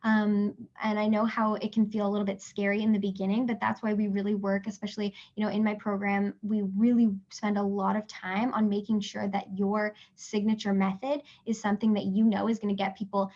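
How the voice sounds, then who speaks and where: A woman's voice: medium pitch, flat delivery, a little fast, few pauses, darkish tone, lo-fi sound. A woman from Canada hosting a live business webinar, chatty and encouraging, checking in often with her listeners while losing her voice. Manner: chatty and encouraging, many fillers and "right?" checks. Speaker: webinar host and business coach.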